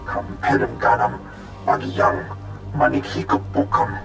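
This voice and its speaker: Sci-fi game alien analyst: guttural, heavy gravel, invented tongue, echoey. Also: male voice, mid-range voice, steady tone, a little slow, music in the background. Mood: matter-of-fact. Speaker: intelligence analyst. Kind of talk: video game character acting.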